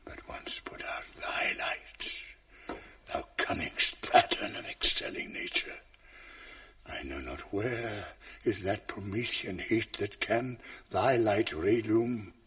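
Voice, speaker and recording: An actor playing a tormented, jealous general in a classic verse radio drama, husky and brooding, shifting from hushed whispers to outbursts. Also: male voice, fairly low pitch, slow pace, heavily acted. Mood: brooding, tender.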